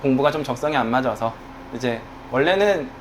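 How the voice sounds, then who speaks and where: A man's voice: mid-range voice, animated, brisk, slight background noise. Earnest man telling his story. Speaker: guest, online community manager. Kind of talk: casual conversation.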